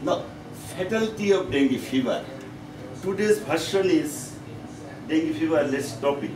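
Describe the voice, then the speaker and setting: A man with a Bangladeshi accent giving a medical conference lecture as a professor, in halting, repetitive, emphatic phrases. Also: a little high, lively intonation. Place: conference meeting room. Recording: echoey, noisy room, distant microphone.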